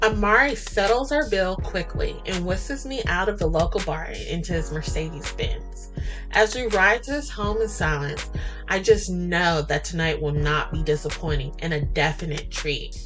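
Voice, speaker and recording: A woman narrating a first-person romance story as podcast host, in a flirty, expressive, dramatic style. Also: fairly low pitch, moderate pace, faint music behind, low-quality recording.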